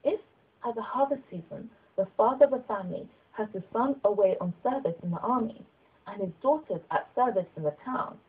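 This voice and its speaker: A woman voicing a kindly, humble old bishop in an audiobook, speaking in an earnest, measured way with gentle persuasion. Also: medium pitch, husky, narrow, tinny audio, natural voice. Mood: earnest, exhorting. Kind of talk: audiobook character dialogue.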